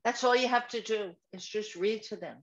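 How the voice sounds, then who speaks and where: A woman's voice: mid-range voice, moderate pace, highs cut off, crowd noise. A woman chatting on a group video call, casual and prone to trailing off while she searches for names.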